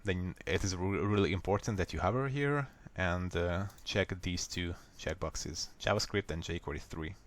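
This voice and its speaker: A man with a Hungarian accent, a native Hungarian speaker, calmly walking viewers step by step through a software fix in a screen recording. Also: low voice, a little hiss or hum. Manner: unhurried, plain, step-by-step explaining. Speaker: software tutorial maker. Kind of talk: screen-recorded how-to walkthrough.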